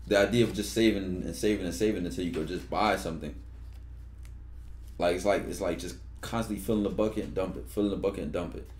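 Young guy on a headset reading a book to his chat, lowish voice, roomy echo, laid back and slangy. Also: fast, slight background noise. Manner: relaxed, slangy, loud outbursts when joking. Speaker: streamer. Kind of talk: reading a book aloud on stream with casual asides. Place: bedroom streaming setup.

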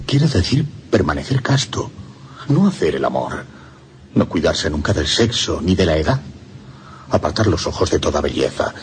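A man's voice: sits low, slight background noise. A man with a Castilian Spanish accent playing a lovesick, tormented young priest, confessing in an anguished radio drama monologue. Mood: despairing.

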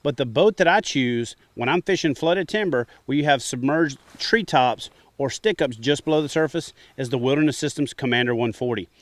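A man's voice: mid-range voice, moderate pace, hardly any pauses, no room sound. A middle-aged man hosting a fishing show, talking casually and jokingly from his kayak on a wooded lake. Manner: joking, easygoing. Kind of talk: casual on-camera talk from a kayak. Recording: music bed underneath.

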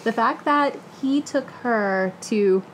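A woman in her thirties in a casual book discussion, opinionated and slangy, with a halting flow full of filler. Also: fairly high pitch, poor audio. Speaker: book club co-host. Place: living room couch, indoors. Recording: clear background room noise.

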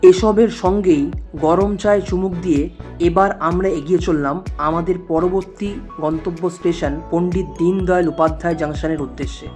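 A voice-over narrator with a Bengali accent reading a scripted travel story at a measured pace. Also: medium pitch, fairly even intonation, music in the background.

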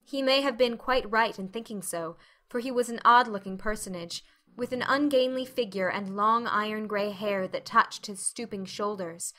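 Female audiobook narrator, mid-pitched and bright, for dramatic reading of classic fiction. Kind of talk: scripted dramatic storybook narration.

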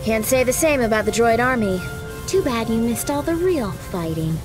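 A woman's voice: a little high, lively intonation, normal speed, over music, lightly acted. A woman playing a confident teenage Jedi apprentice in a video game, spirited and flippant. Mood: cheeky. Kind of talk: video game cutscene acting.

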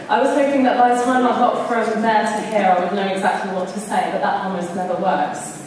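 A woman's voice: mid-range voice, a little mellow, slight background noise. A young adult woman from Iran giving an earnest acceptance speech at a podium, stressing key words as she tells her story. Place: large hall, on stage at a podium. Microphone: podium mic, camera far off. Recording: echoey hall recorded from far off.

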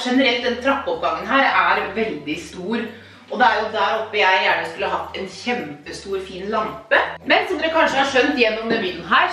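Chatty young woman touring her home. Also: mid-range voice. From Norway. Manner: chatty, upbeat, lively asides to viewers. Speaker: vlogger. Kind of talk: casual house tour vlog. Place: bright modern home, indoors. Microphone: handheld camera at arm's length.

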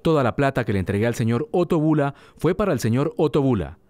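A man's voice: mid-range voice, lively intonation, darkish tone, no room sound. A man giving a firm formal statement from an archive clip. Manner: firm denial, rapid. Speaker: interviewee.

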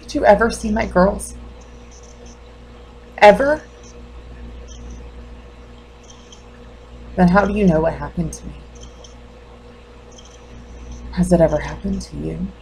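A woman performs a grieving, defensive mother in a dramatic monologue. Her voice sits a little low, and she speaks in an intense, halting way. The recording carries faint noise. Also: gravelly, darkish tone, rough recording. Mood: bitter, accusing.

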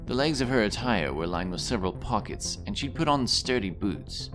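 Low-voiced, expressive male story narrator. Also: normal speed, music in the background. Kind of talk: scripted fantasy story narration.

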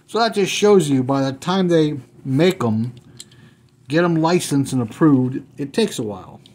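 A man's voice: a little high, expressive, measured pace, a little mellow. A middle-aged man chatting casually as he unboxes old toy cars at a table at home, thinking aloud as he handles each one. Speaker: toy car collector unboxing.

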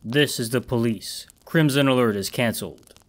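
A man's voice: mid-range voice, natural voice. A man voicing a large, imposing figure claiming to be the police. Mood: menacing. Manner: slow, commanding.

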